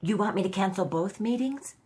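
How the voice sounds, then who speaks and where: An actress playing a helpful, literal-minded office secretary in a radio drama, polite and matter-of-fact. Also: female voice, mid-range voice, expressive, fairly quick, darkish tone, slightly rough. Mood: puzzled.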